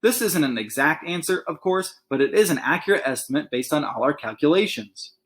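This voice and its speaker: Guy reading a kids chapter book out loud, expressive, chatty little asides. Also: fairly high pitch, brisk. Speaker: read-aloud reader. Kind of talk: storybook read-aloud with casual asides.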